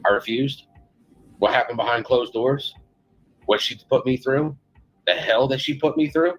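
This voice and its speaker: A man's voice: medium pitch, normal speed, poor audio. A man being interviewed, talking informally and candidly in a blunt, rambling, defensive manner. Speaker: interviewee, a parent.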